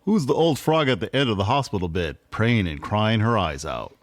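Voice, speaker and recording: A man's voice: fairly low pitch, animated, normal speed, dry recording, lightly acted. A man playing a simple, well-meaning, folksy police sergeant in a radio play. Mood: naive, apologetic.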